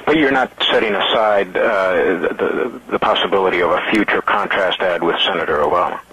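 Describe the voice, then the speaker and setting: Husky male reporter on a dull call. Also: sits low, pushed and bright, dry recording. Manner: halting, tentative phrasing. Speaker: wire service reporter. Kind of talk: asking questions on press call. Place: telephone conference call. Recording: dull lo-fi phone call audio.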